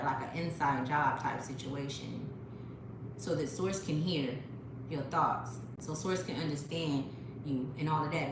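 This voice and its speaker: A woman hosting a spiritual livestream, talking straight to her viewers in a profane, preachy way on a phone. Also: sits low. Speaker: livestream host. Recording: very echoey room with noise.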